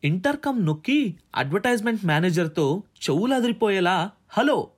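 A male audiobook narrator with a fairly high voice. His delivery is very lively and swings widely in pitch as he dramatizes the story in a radio jockey's expressive style. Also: normal speed. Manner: dramatizes dialogue between characters.

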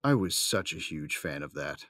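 An actor as an armored warrior narrating a sci-fi audio drama. He has a very deep voice, recorded dry and close, with a wry sense of humor. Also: lively intonation, moderate pace, pushed and bright, no room sound, exaggerated voice. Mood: wry watchfulness. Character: armored male warrior, wry, affectionate, first-person narrator.

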